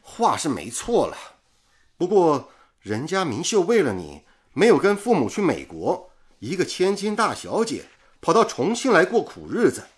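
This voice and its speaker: An actor playing a chatty, blunt and loyal radio engineer in a radio drama, exclamatory and teasing. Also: male voice, on the high side, huge pitch swings, normal speed.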